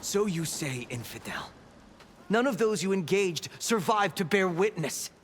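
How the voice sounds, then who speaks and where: An actor playing a hostile, zealous soldier in a video game, speaking with accusing contempt. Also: male voice, high voice, animated, a little slow, a little hiss or hum. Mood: scornful, accusing. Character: hostile, zealous male soldier of a secretive order. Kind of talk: video game cutscene acting.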